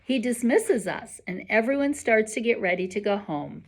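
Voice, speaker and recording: A woman reading a children's novel aloud like a teacher, expressive and emphatic with clear enunciation. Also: a little high, moderate pace. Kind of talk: expressive storybook read-aloud.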